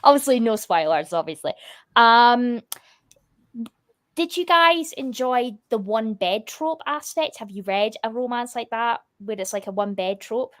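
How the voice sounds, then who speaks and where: A woman's voice: on the high side, animated, a little slow, a bit bright. A woman co-hosting a live book club, chatting casually in a giggly way.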